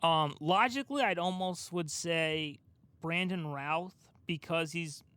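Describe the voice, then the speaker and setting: A man co-hosting a podcast in casual, informal chat with an animated storytelling manner. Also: a little high, takes it slowly, clear, resonant tone, dry recording. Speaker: podcast co-host.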